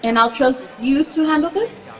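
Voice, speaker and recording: An actress playing a genteel, tactful upper-class hostess in a radio drama, polite but insistent. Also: female voice, high voice, normal speed, soft background music, some chatter behind, lightly acted, poor audio. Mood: discreet concern.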